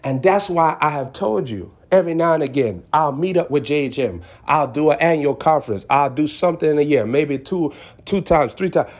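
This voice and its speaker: A man's voice: on the high side, lively intonation, fairly quick. A man preaching as a self-styled prophet in an impassioned exhortation, emphatic and repeating short phrases for effect.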